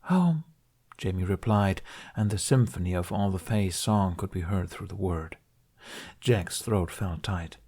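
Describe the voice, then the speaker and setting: Male narrator, lowish voice, calm measured storybook read. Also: breathy edge. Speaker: podfic reader.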